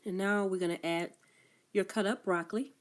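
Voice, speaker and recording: A woman's voice: medium pitch, fairly even intonation, moderate pace. A woman with a cold, sounding congested and coughing now and then as she walks through a recipe step by step in a casual cooking demonstration. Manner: plain step-by-step instructions with frequent coughs. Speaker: cook. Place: food truck kitchen.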